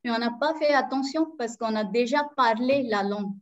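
A woman's voice: on the high side, fairly quick. Indian woman on a dull video call. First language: Marathi. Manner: hesitant, many fillers and restarts. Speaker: guest, Sanskrit teacher. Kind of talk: casual interview. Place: small room indoors.

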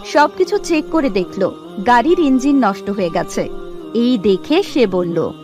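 A woman's voice: on the high side, expressive, a little slow, music in the background. A woman narrating a scripted cartoon moral story.

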